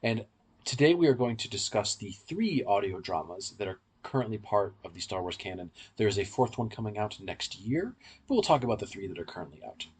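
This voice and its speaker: A man's voice: on the low side, very animated, brisk, breathy edge. A man hosting a podcast, explaining things at length in a conversational discussion.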